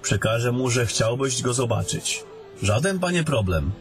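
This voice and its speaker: A dutiful young captain from a fantasy radio play, acted by a man. He speaks in a medium-pitched voice with formal, archaic courtesy, while music plays clearly behind him. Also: animated, moderate pace, close to an everyday voice. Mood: respectful. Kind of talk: fantasy audio drama acting. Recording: background music under the voice.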